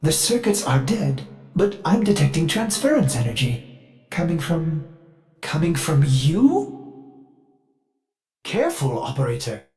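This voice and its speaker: A man's voice: on the high side, big pitch swings, a little mellow, soft background music. A man voicing an anxious, devoted ship AI servant for a video game, formal and theatrical, with a brooding streak beneath the politeness. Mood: puzzled, concerned. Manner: formal, theatrical, measured, fretful. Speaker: ship's AI assistant. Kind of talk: scripted video game dialogue.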